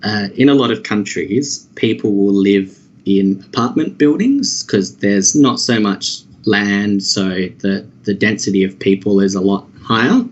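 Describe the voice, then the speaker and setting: A man's voice: lowish voice, unhurried. An Australian man answering questions in casual conversation, rambling and hesitant with many fillers and restarts. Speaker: interviewee. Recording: dull lo-fi audio.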